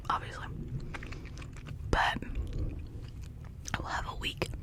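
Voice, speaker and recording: A woman whispering breathily in a casual, rambling, chatty ASMR style, talking informally to her viewers. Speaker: ASMR video creator.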